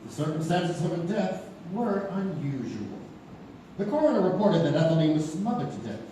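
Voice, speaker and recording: A man's voice: fairly high pitch, big pitch swings, moderate pace, warm tone, far from the mic, slight background noise. A man playing a storyteller who narrates an old murder mystery on stage, knowing and theatrical, with rhetorical questions. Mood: intrigued, grave. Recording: echoey live theatre recording from afar.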